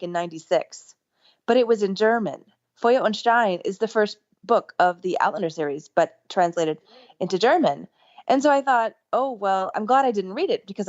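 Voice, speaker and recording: A middle-aged woman, a podcast guest, telling a story in an enthusiastic, rambling way, with lively jumps in pitch. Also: no echo. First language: English. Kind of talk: casual storytelling interview. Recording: dull lo-fi remote line.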